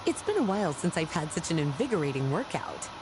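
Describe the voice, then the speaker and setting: An actress playing a playful, flirtatious, well-read woman in a video game, speaking in a coy, polished, unhurried way. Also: sits low, big pitch swings, background noise. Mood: playful, storytelling. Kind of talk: scripted video game character dialogue. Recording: game music behind the voice.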